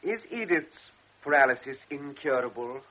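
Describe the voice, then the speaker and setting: A man's voice: mid-range voice, expressive, moderate pace, highs cut off, lightly acted. A man playing an earnest, anxious young army lieutenant in a radio drama, speaking in an eager, pleading, hesitant way.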